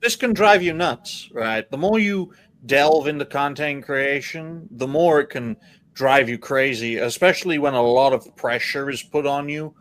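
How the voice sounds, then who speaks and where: A man's voice: mid-range voice, fairly bright tone. A man hosting a casual panel discussion, cracking jokes and teasing his guests. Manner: joking, quick asides, bursts of laughter. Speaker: podcast host.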